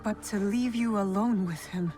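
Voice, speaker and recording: A woman's voice: mid-range voice, normal speed, lightly acted. A woman playing a young, defiant and determined warrior of feudal Japan in a video game, blunt and challenging. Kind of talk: cinematic video game acting. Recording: faint music behind the voice. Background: faint background music.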